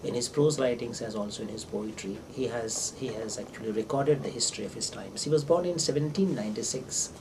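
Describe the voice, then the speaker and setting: Older indian guy, urdu native, professor style lit talk, hesitant n deliberate, far from mic w noisy background. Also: medium pitch. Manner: deliberate, hesitant phrasing with repetitions. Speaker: English professor, visiting scholar. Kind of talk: informal literary lecture for an interviewer. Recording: distant microphone with clear background noise.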